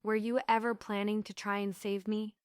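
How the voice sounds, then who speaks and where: A woman's voice: mid-range voice, little pitch movement, normal speed, smooth, no echo, studio quality, close to an everyday voice. A woman playing a sarcastic, wounded, headstrong heroine with a dramatic delivery at a stage script table read. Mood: bitter, hurt.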